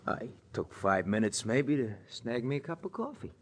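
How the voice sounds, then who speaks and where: An actor playing a chatty, streetwise cab driver with a breezy, slangy 1940s radio delivery. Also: male voice, mid-range voice, big pitch swings, moderate pace, a little hiss or hum, close to an everyday voice.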